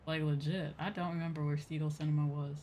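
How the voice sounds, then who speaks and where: A woman's voice: low voice, flat delivery, fast talker, dark tone, slight background noise. A woman chatting casually and expressively as she commentates a game livestream. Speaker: streamer. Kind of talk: casual game livestream commentary, reading on-screen dialogue aloud. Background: game music.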